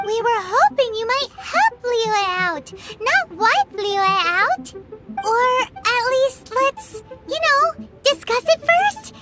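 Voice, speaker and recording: Little fairy companion girl, very high squeaky voice, highly animated, excitable, childlike, video game character, music underneath. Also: heavily acted. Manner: speaks of self in third person, exclamatory. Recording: music behind the voice. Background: playful game music.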